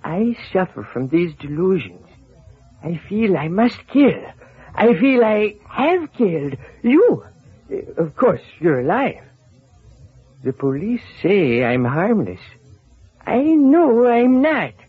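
A meek, unhinged little man from an old radio crime play. His voice is very high and husky, halting and pleading, on a muffled vintage recording. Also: sing-song, exaggerated voice. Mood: anguished, creepy confession. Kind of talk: old-time radio crime drama acting.

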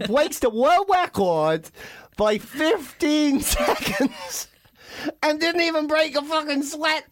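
A woman's voice: high-pitched, lively intonation, takes it slowly, a little hiss or hum. An Irish woman co-hosting a casual chat, telling stories animatedly and swearing freely. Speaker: podcast co-host.

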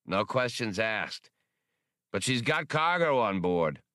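A jolly alien freighter captain who chews a cigar, put on by a man narrating a storybook. She talks merrily and offhandedly, with lively pitch, in a low-ish voice. The sound is dry and close. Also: slow, no echo, heavily acted. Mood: merry. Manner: merry, offhand.

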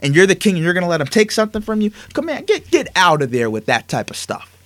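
An opinionated male sports talk host with a fairly high voice that leaps around as he hammers his points home. He fires off rhetorical questions and punchy one-word verdicts. The sound is dry and close to the mic. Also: quick pace, no echo. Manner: emphatic, animated rants with rhetorical questions.

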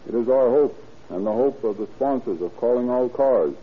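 Male police chief reading a formal radio speech: husky, stiff, solemn, on a phone-thin vintage recording. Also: mid-range voice, phone-quality sound. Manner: stiff, solemn, deliberate phrasing. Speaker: police chief of detectives, guest speaker. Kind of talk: formal scripted radio address.

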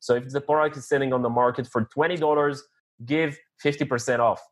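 A man whose first language is French, a podcast guest giving earnest advice in casual interview talk, often pausing to search for words with hesitant fillers. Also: medium pitch, steady tone. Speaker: podcast guest, online store founder.

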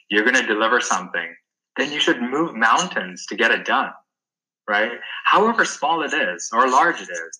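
Guest: man from Sri Lanka, low voice with a very bright edge, earnest and emphatic. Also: poor audio. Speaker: guest, wellness coach. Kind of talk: interview answers.

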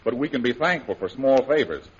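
An actor playing a steady, good-natured adventurer, plain-spoken and folksy, in 1930s radio serial style. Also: male voice, mid-range voice, brisk, breathy edge. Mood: curious, pleased. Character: steady, good-natured adventurer hero, male, hopeful captive. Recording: muffled 1930s radio recording.

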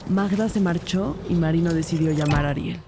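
Una mujer como detective narradora, voz algo grave. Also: female voice, fairly low pitch, a little fast, noisy background, close to an everyday voice. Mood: observant, matter-of-fact. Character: female detective narrating her investigation, observant, measured. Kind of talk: scripted first-person narration of crime story.

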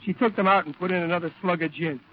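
An actor playing a brash, wisecracking working-class Marine with a Brooklyn accent in a 1940s radio drama. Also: male voice, high-pitched, fairly even intonation, fast, slight background noise, lightly acted. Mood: cocky storytelling. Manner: cocky, mock-refined wisecracking. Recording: dull vintage radio recording.

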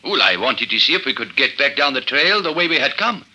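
A man's voice: mid-range voice, big pitch swings, a little fast, bright, forceful tone, slightly rough, exaggerated voice, low-quality recording. A man playing an uneasy, indignant suspect in an old radio drama, hesitant and affronted. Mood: defensive, indignant. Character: uneasy, indignant male climber under suspicion.

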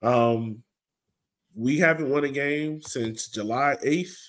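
A man's voice: medium pitch, takes it slowly. A man hosting a soccer fan podcast, giving a blunt, frustrated and casual recap. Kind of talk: casual sports recap discussion.

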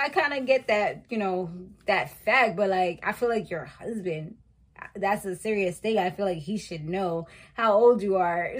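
A woman in her thirties casually recapping a reality show as host, chatty and animated, with slangy asides and frequent laughter. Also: a little high. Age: 30s. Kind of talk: casual chat.

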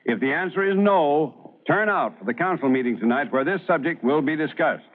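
Crusading small-town American editor, fiery and earnest, very animated pitch, muffled vintage radio sound. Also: male voice, fairly quick. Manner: earnest, forceful, speechifying. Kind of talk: old-time radio acting.

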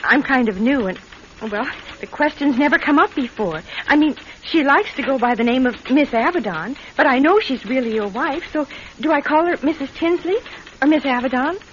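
An actress playing a young, eager secretary in a radio drama, polite, chatty and apologetic, halting when flustered. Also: female voice, high voice, expressive. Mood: chatty, nervous. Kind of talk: vintage-style radio drama acting. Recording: muffled, noisy radio drama recording.

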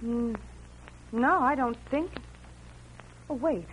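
High-voiced young frontier townswoman. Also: slow pace, a little hiss or hum. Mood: hesitant. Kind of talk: old-time radio western acting. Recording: dull old radio recording.

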